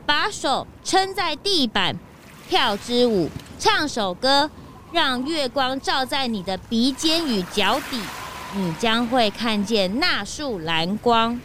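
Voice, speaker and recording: A woman's voice: fairly high pitch, animated, slow pace, fairly bright tone, a little hiss or hum. A woman voicing a naive, curious and chatty young detective's sidekick in a children's audio drama, childlike in manner. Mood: curious, puzzled. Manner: childlike, blurting questions.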